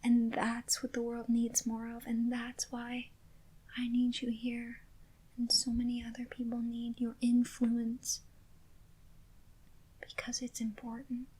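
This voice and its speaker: A woman speaking in a soft, gentle whisper, reassuring a listener in a comforting ASMR roleplay. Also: on the high side, monotone, slow pace, slightly rough, rough recording.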